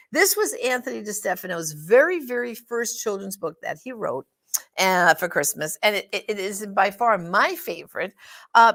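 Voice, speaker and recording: A woman's voice: mid-range voice, creaky, a bit bright. A woman hosting a show, introducing it to her viewers in a presenter's address. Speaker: host, organization director.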